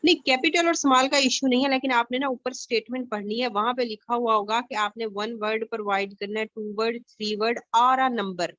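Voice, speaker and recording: A woman's voice: a little high, steady tone, brisk, hardly any pauses, fairly bright tone, no echo. A woman with a Pakistani accent teaching an IELTS class over a video call, explaining patiently with many fillers. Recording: dull lo-fi online call audio.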